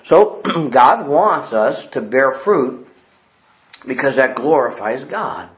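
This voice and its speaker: Earnest preacher, echoey lo-fi sound. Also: male voice, medium pitch, very animated, slow pace. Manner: earnest, emphatic preaching with repeated phrases; quotes old-fashioned scripture wording. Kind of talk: sermon. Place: church building.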